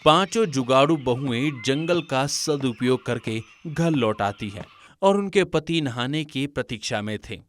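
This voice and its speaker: A man reading scripted narration for an animated family story as its storyteller. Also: mid-range voice, measured pace.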